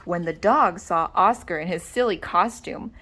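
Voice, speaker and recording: A woman reading a children's story aloud with dramatic, deliberate expression. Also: fairly low pitch, bright, forceful tone, a little hiss or hum. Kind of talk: animated read-aloud narration.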